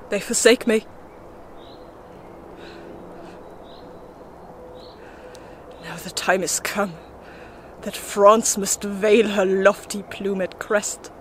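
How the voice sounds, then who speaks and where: Over clear background noise, a woman acts out a desperate young warrior woman in a Shakespeare monologue. The voice is fairly high and very unhurried, theatrical, moving between hushed and imploring delivery. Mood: despairing, defeated. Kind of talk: solo Shakespeare monologue, dramatic recitation.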